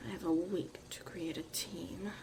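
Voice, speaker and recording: A woman's voice: fairly low pitch, fairly even intonation, normal speed, vocal fry, background noise. A woman acting a tough but caring witch mother in an ASMR roleplay, muttering and often dropping to a whisper. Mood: exasperated, muttering.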